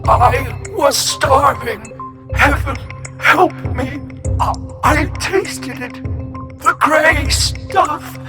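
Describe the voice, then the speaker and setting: A man voicing an anguished ghost of a shipwrecked castaway in a horror radio play, wailing and drawn-out. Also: extremely high pitch, pitched above the performer's own voice, exaggerated voice. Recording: music under the voice.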